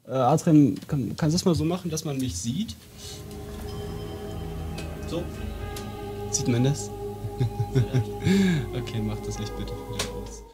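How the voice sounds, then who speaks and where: A man's voice: fairly high pitch, animated, takes it slowly, pauses often, mellow, faint music behind. A man hosting a live stream in casual, jokey chat.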